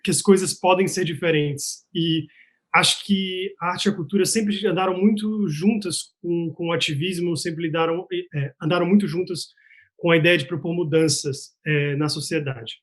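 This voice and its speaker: Bahian guy talking on a panel, earnest, lots of "é" fillers, bit high voiced. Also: fairly even intonation, normal speed. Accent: Bahian Brazilian Portuguese. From Salvador, Bahia, Brazil. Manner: earnest, hesitant with many fillers. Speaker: researcher, panelist. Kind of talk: casual online panel talk.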